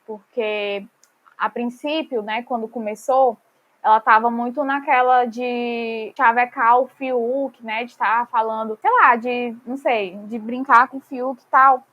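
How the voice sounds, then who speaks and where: Earnest higher-voiced woman podcaster. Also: fairly bright tone. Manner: earnest, with frequent fillers like "tipo" and "né". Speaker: guest podcaster. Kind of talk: casual podcast conversation.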